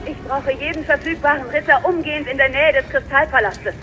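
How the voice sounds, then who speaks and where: A woman's voice: high-pitched, fairly even intonation, fairly quick, lo-fi sound, music in the background, close to an everyday voice. An actress playing a commanding, anxious but resolute female knight in a German audio drama, urgently calling out.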